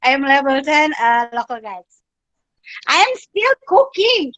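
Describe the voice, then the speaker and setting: A woman's voice: sits high, big pitch swings, unhurried, a bit bright, dry recording. A woman from Indonesia introducing herself on a call while cooking, giggly and laughing between words. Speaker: community member. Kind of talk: casual self-introduction.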